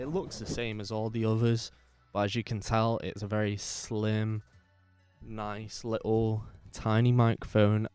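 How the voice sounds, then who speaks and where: Bassy-voiced man chatting about his mic. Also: darkish tone, no echo. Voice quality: bassy, slightly gravelly low voice. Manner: rambling, repeats himself, swears casually. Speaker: podcast host. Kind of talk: casual talk about microphone settings. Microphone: dynamic microphone, distance varies.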